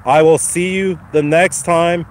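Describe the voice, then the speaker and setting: A man hosting his first live stream from a phone while walking a dog outside, his voice slightly raspy from a cold, casually encouraging viewers. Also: fairly high pitch, steady tone, unhurried, slight background noise. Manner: encouraging, repetitive, addresses viewers by name. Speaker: channel host. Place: outdoors on a lawn walking a dog. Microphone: phone held while walking.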